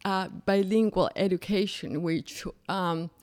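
A woman from Colombia whose first language is Spanish speaks slowly and with care as an interview guest. Her voice sits at an ordinary mid pitch, and she pauses on soft fillers as she thinks. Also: steady tone. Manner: unhurried, thoughtful, with soft fillers. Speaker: podcast guest, business founder.

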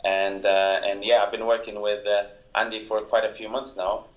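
Portuguese-accented man with a low, flat voice. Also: normal speed, roomy sound, lo-fi sound. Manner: hesitant, lots of uh and um, self-deprecating. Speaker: software systems engineer, podcast guest. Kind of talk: casual chat on a call.

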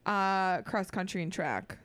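A woman guest on a casual comedy podcast, soft-spoken and giggly, telling stories about herself. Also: fairly low pitch, animated, measured pace, smooth, no echo.

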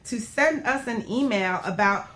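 A woman's voice: a little high, unhurried, a bit bright. A woman hosting a radio show in a casual, chatty way, with a playful, teasing and encouraging manner.

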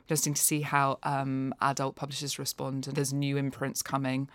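A woman's voice: extremely low pitch, monotone, a little fast, no echo. A woman giving a conversational, articulate and discursive review of books as an expert guest. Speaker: non-fiction books expert, podcast guest.

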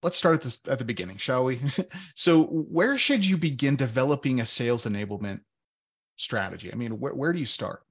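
A man's voice: mid-range voice, expressive, a little fast, husky. A man hosting a business podcast in a friendly, loose way, often repeating words as he talks. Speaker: podcast host. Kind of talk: casual interview hosting.

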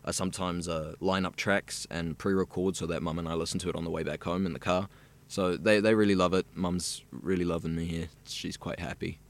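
A man's voice: low-pitched, flat delivery, fairly quick, few pauses. A young man from South Africa, a radio student chatting easily and at length in an interview. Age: young adult. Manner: chatty, rambling and easygoing. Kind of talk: casual interview chat.

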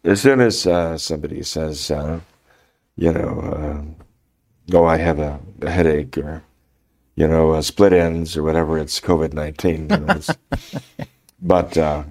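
An older priest talks in a gravelly, very low voice, roughened by a mild head cold. He speaks slowly and haltingly, filling gaps with ums and uhs. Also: steady tone, a little mellow. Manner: slow, halting, many fillers. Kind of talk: unscripted radio talk.

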